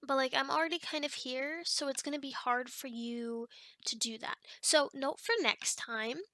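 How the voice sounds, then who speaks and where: A woman's voice: sits high, steady tone, moderate pace, bright, forceful tone, no echo. A woman voicing a chatty, teasing female sleep paralysis demon in an ASMR roleplay, talking in a casual, rambling, hesitant way. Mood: matter-of-fact, chatty. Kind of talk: ASMR roleplay, one-sided conversation.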